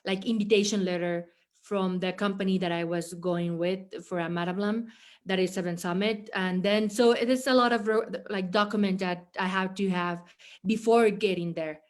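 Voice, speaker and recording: A woman's voice: mid-range voice, fairly even intonation, normal speed. A woman from Latin America, a mountaineer, answering interview questions in English with many fillers and restarts. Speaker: mountaineer guest.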